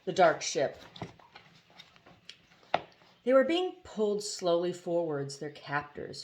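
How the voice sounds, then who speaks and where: A woman reads a storybook aloud in a lower-pitched voice. Her narration is expressive, in the manner of an amateur audiobook reader with a casual touch.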